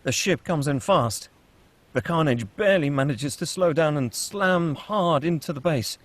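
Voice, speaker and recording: A man's voice: fairly high pitch, unhurried, no room sound. A man narrating screenplay stage directions in a scripted table-read style.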